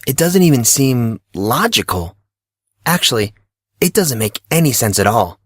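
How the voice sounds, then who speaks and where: A man's voice: mid-range voice, sing-song, measured pace, fairly bright tone, breathy edge, no room sound, studio quality, heavily acted. A man voicing a puzzled, skeptical fourteen-year-old schoolboy, questioning and sarcastic, in an audio drama. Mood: bewildered. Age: teenager. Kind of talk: audio drama character reading.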